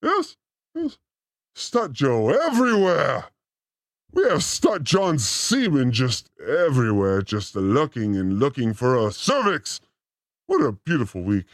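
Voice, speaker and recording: A man's voice: mid-range voice, huge pitch swings, slow pace, dry recording, clean studio sound. A man hosting a livestream with a rambling, theatrical comic monologue, exclamatory and given to swearing. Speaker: host of a livestream show.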